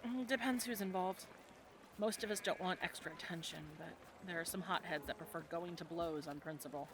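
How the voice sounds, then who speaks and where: A woman playing a friendly, teasing medieval fantasy tavern local in an audio roleplay, talking in a conversational, gently instructive way to a newcomer. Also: lowish voice, fairly quick, noisy background, natural voice. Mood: guiding. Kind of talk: audio roleplay acting, adventure ASMR.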